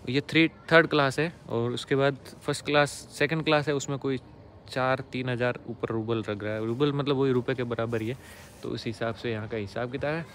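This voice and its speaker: A man's voice: medium pitch, fairly even intonation, fairly quick, slight background noise. A young man from India talks casually to his viewers, filming himself with a phone at arm's length on an outdoor railway platform at night. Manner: chatty, addresses viewers directly, drops to a hush near sleeping passengers. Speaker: travel vlogger. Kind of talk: casual travel vlog to camera. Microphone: phone held at arm's length.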